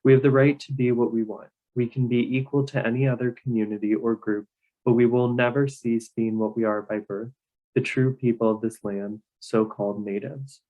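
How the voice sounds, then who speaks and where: A man's voice: mid-range voice, level tone, moderate pace. Man reading aloud, muffled call sound. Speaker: reader in a group reading. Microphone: video call.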